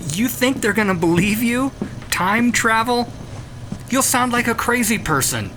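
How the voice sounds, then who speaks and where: A man's voice: extremely high pitch, lively intonation, a little slow, bright, forceful tone, a little hiss or hum, pitched above the performer's own voice, exaggerated voice. A man playing an obsessive, defiant scientist in an audio drama, speaking with agitated insistence. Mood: defiant scorn. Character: obsessive, defiant male scientist, feverish and stubborn.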